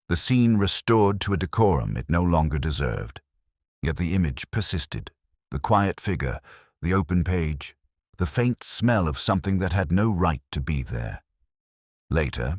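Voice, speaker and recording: A man playing a weary, sharp-minded police detective, narrating a mystery in a measured, literary, wry and brooding way. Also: extremely low pitch, breathy edge, no room sound, studio quality, exaggerated voice. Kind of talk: scripted first-person detective narration.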